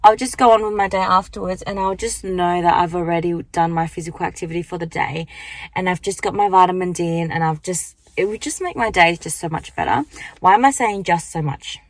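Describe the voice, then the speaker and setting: Young woman, croaky morning voice, rambling casual vlog chat into a handheld phone, dry close sound. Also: lowish voice, a little fast, dry recording. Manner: chatty, rambling, lots of fillers. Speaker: vlogger. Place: car at the beach, then walking outdoors.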